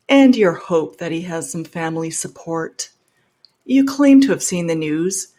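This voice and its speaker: A woman hosting a show, reading letters aloud in an earnest, measured way and adding pointed comments of her own. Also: lowish voice.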